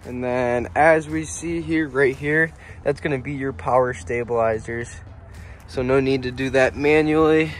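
A man's voice: medium pitch, unhurried, a little hiss or hum. Young man giving a casual trailer walkaround. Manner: relaxed, plainspoken, pointing things out as he goes. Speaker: RV salesman giving a walkaround tour. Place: outdoors at an RV lot, then inside a small trailer.

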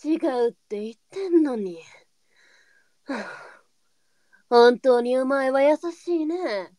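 A woman's voice: sits high, animated, extremely slow, mellow, no echo, exaggerated voice. A woman playing a stern, nagging brothel madam with a motherly streak, speaking bluntly in old-fashioned scolding phrases. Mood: exasperated.

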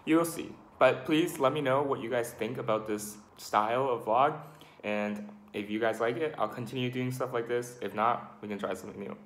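A man's voice: medium pitch, moderate pace. A young man talking casually as the host and interviewer of a vlog, recorded indoors. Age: young adult. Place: indoors, small room. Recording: roomy indoor echo.

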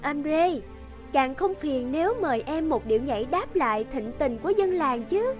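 Very high-pitched plaintive cartoon maiden. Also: female voice, expressive, moderate pace, over music. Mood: wounded, coy. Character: young maidens of a fairy tale, tender and emotional. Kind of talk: animated fairy-tale dubbing.

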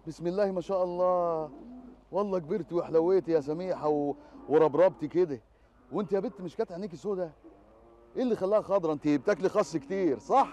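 A man's voice: high-pitched, fast, dark tone, natural voice. An actor with an Egyptian Arabic accent playing a joking police officer who teases a village girl. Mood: teasing. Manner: teasing, chuckling.

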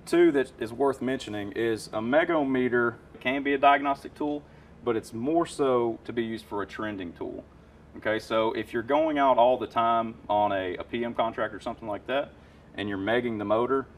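A man's voice: mid-range voice, recorded from a distance, a little hiss or hum. A man in his thirties, an HVAC technician, explaining tools in casual, enthusiastic shop talk with lots of fillers. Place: warehouse parts room with shelves.

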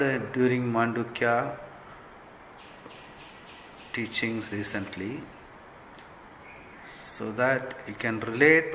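A man leading a guided meditation as a spiritual teacher, giving gentle instructions in short, measured phrases. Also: medium pitch. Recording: long hall reverb, faint background noise.

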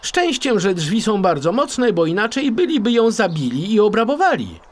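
A man's voice: sits high, big pitch swings, moderate pace, voice pushed up. A man voicing a chatty, dramatic housemaid in an audio storybook. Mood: excited gossip. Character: chatty, dramatic housemaid relaying gossip and orders.

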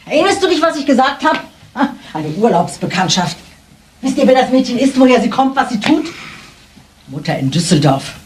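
Older woman, disapproving, accusing, highly animated pitch, crime radio play, faint hiss. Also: brisk. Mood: spiteful. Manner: agitated, accusing rhetorical questions. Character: spiteful, disapproving older woman of a hateful family.

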